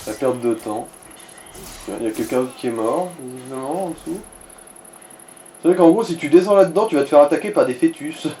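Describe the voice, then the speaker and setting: A man's voice: a little high, fairly quick, long pauses, mellow, slight background noise. A man chatting casually over a video game, explaining and advising the player. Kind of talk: casual chat over gameplay. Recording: echoey room, game music behind.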